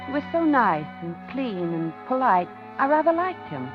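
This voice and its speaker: An American actress playing a polite, uneasy widowed office worker in a 1950s radio suspense drama, confiding and genteel. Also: female voice, sits high, very animated, normal speed. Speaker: radio drama lead and narrator. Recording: muffled 1950s radio recording, organ music.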